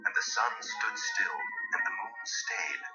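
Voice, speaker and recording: A man narrating dramatized scripture in a solemn storytelling manner. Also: a little slow. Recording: muffled audio with music underneath.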